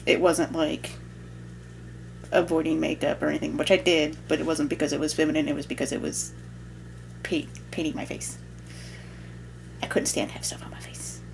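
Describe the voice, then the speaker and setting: A young adult woman with a low, strongly gravelly voice, talking casually to camera. She sounds relaxed and reflective and drops in joking asides. A faint hum runs behind her. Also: moderate pace, long pauses, rough recording. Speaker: vlogger. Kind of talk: casual chat.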